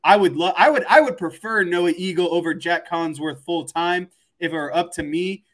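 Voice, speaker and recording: A man's voice: high voice, normal speed, fairly bright tone. A man co-hosting a sports talk show, giving his opinions in a rambling, conversational way. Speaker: sports podcast co-host. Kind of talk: casual sports commentary chat.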